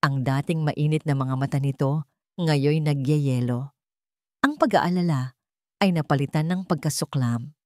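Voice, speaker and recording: A woman's voice: sits low, a little slow, long pauses, warm tone, no room sound, clean studio sound. A woman with a Filipino accent narrating scripted fiction in a dramatic radio drama storytelling style.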